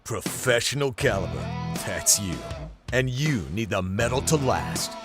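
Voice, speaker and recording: A man's voice: medium pitch, animated, a little slow, close to an everyday voice. An actor playing an earnest, grandiose machinery salesman in a video game advert, speaking in solemn slogans. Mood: earnest pitch. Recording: loud guitar music underneath.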